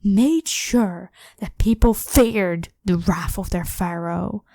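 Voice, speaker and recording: A woman's voice: medium pitch, slow, mellow, dry recording, studio quality. A woman voicing an evil ancient pharaoh in an ASMR roleplay, imperious, demanding and scornful as she addresses the listener. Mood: outraged, cruel. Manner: haughty, commanding, theatrically scornful.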